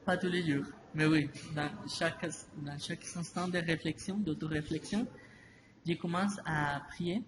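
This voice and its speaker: A man answers an interviewer slowly, stopping often to restart his sentences. His voice sits a little high. Steady noise runs behind the recording. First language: Spanish. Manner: halting, many restarts and fillers. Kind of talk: slow, hesitant interview answers. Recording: noisy ambience behind voice.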